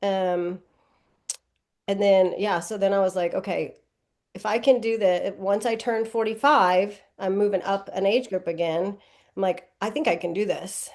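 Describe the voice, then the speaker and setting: A woman's voice: mid-range voice, steady tone, normal speed, darkish tone. Chatty woman in her forties. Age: 40s. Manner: rambling, self-correcting, lots of "um" and "like". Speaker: guest athlete. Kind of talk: casual interview chat.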